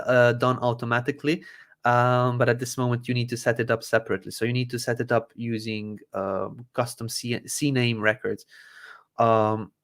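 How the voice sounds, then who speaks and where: A man from Croatia hosting a live Q&A, walking viewers through software settings step by step with many fillers and restarts. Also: medium pitch. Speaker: host answering user questions. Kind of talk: live Q&A tech support walkthrough.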